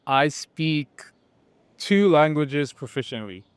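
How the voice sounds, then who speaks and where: A man from Nigeria, a student, giving relaxed, conversational interview answers. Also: fairly high pitch, slow, smooth, no echo.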